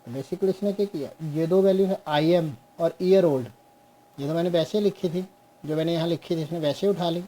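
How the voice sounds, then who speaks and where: A young man teaching a programming class on screen, slowly walking through code step by step and mixing Hindi with English. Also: fairly high pitch, mellow, poor audio. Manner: slow, explains step by step, mixes Hindi and English. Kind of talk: screen-share coding lesson.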